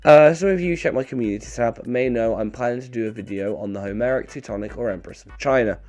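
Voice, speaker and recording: A man narrating in a wry, deadpan way, his voice slightly off as he recovers from a bad cold. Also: mid-range voice, quick pace. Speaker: video creator and narrator. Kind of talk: scripted narration with dry humour, casual outro.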